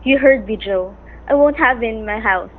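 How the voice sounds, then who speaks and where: A woman's voice: fairly high pitch, normal speed, natural voice. An actress playing an anxious, protective young wife and mother in radio melodrama, earnest and pleading, defiant when pushed. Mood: desperate, defiant. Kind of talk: old-time radio melodrama acting. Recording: tinny vintage radio recording, background noise.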